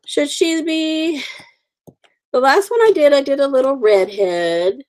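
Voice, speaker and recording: A woman's voice: high voice, lively intonation, measured pace, darkish tone. A woman with the sniffles, chatting casually with viewers while coloring stamped cards on a livestream, greeting people by name. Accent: Oklahoma. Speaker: livestream host.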